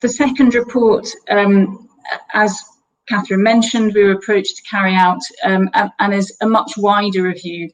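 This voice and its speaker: A woman's voice: mid-range voice, fairly even intonation, normal speed, muffled recording. A woman, not Welsh-accented, presenting report findings online and talking with many fillers and restarts. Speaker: guest presenter.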